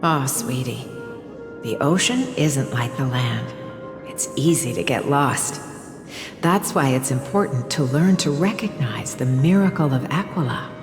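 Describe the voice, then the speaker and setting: A woman playing a gentle, doting motherly figure in a game, speaking in an affectionate, soothing storytelling manner. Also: rumbling low, animated, measured pace. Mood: tender storytelling. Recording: reverberant hall-like echo with music behind.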